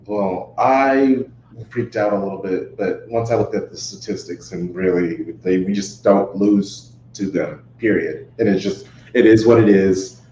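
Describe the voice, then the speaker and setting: A man's voice: lowish voice, a little slow, dark tone. A man talking casually as a football fan guest on a sports podcast. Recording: roomy, dull sound with faint noise.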